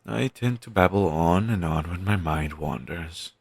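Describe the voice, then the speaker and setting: A man playing a morose, self-deprecating zombie best friend in an audio roleplay, speaking haltingly and deadpan in a gravelly, husky voice. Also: low voice, dry recording, exaggerated voice. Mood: haunted, reflective. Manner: deadpan, halting, listless delivery with long pauses. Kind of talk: audio roleplay, intimate scripted monologue addressed to listener.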